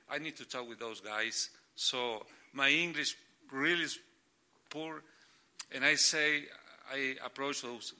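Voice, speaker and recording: A middle-aged man whose first language is Spanish talks through his church work in halting, searching English. His voice is very bright and forceful. He stops often to find the next word. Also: mid-range voice. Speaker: church planting catalyst. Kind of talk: guest sharing ministry stories.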